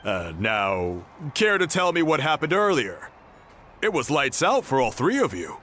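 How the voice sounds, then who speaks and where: Anime game young adventurer: confident, teasing, unhurried, with very animated pitch. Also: male voice, faint music behind, full character voice. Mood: easygoing. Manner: relaxed, reassuring, teasing.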